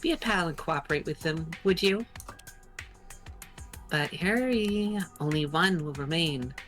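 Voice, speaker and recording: A woman playing a slow, mocking game kidnapper. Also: deep voice, lively intonation, fairly bright tone, soft background music. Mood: mocking, sinister. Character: mocking, nonchalant kidnapper toying with a captive pupil. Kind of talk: voiced game character lines.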